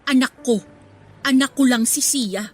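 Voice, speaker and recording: A woman's voice: high-pitched, unhurried, husky. A woman playing a guarded, firm single mother in a radio melodrama, emotional and defensive, with a Filipino accent.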